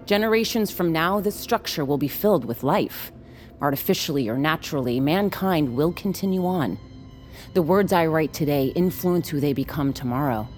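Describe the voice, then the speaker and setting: An actress playing a bitter, grieving woman who is cool, taunting and deliberate, in a scripted audio drama. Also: low voice, animated, a little mellow, soft background music. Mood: bitter, purposeful. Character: bitter, grieving woman bent on erasing a man's legacy.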